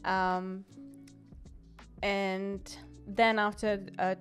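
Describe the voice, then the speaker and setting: A woman's voice: mid-range voice, level tone, drawn-out words, faint music behind. A woman in her thirties from the Czech Republic, a Czech accent shaped by England, chatting cheerfully as a guest and laughing often. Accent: Czech with English influence. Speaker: guest, hotel commercial executive. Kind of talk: casual interview chat.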